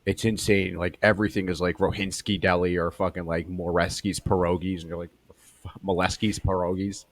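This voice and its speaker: Male comedy podcast host, low voice, crude casual banter. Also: flat delivery, fairly quick. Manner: loose, profane, joking.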